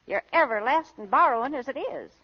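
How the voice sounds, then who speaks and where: A woman's voice: high voice, expressive, a little fast. An actress playing a blunt, scolding, folksy older mother-in-law in a 1930s radio comedy-drama. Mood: nagging. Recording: dull old 1930s radio recording.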